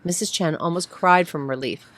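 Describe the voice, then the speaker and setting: Low-pitched woman narrating a story. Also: moderate pace. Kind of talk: scripted short-story narration, read aloud. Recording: faint background noise.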